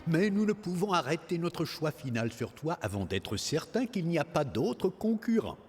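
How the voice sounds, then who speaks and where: A man's voice: on the high side, sing-song, normal speed, husky. An actor playing a kindly, formal rabbit king in a cartoon, speaking in a stately, ceremonious way. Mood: solemn. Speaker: voice actor in a French-language cartoon. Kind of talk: animated film character acting.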